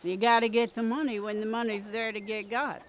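A woman's voice: on the high side, little pitch movement, fairly quick, slight background noise, lightly acted. A woman playing a folksy, doting country mother who scolds and fusses. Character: fussing folksy country mother, full of sayings.

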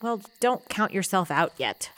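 An actress playing a wry, kindly older small-town mother in an audio drama, talking in a folksy, gently teasing way. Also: mid-range voice, animated, measured pace. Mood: wry, consoling. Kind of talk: naturalistic audio drama acting.

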